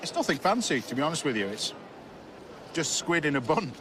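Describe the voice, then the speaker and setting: A man's voice: on the high side, very animated, fairly quick. A man, a chef and TV host, talking casually and enthusiastically to camera about food while out on a city street. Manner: chatty, enthusiastic, food-focused asides. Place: busy city street outdoors. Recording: outdoor location sound with street noise.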